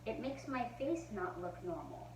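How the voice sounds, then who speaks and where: A woman voicing a sad little boy in a children's book read-aloud, speaking softly. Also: on the high side, very animated, moderate pace. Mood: quiet. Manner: soft, quiet storybook voicing. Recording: roomy echo, far from the mic, faint hum.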